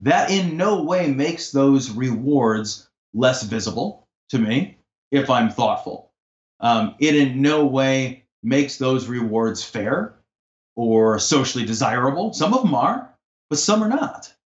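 A man's voice: mid-range voice, animated, slow pace. A man in a podcast conversation, talking in an assertive, impassioned way that turns to swearing when he gets heated. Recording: roomy, dull lo-fi sound.

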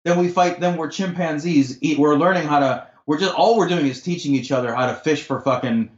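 A man's voice: on the high side, a little fast. A man in a podcast conversation, talking in an assertive, impassioned way that turns to swearing when he gets heated. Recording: roomy, dull lo-fi sound.

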